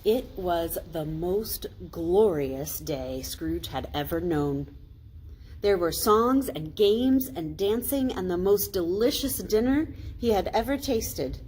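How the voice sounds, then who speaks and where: Slow-paced woman reading a children's story. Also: fairly low pitch, lively intonation, darkish tone, slight background noise, rough recording. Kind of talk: expressive children's storytime narration.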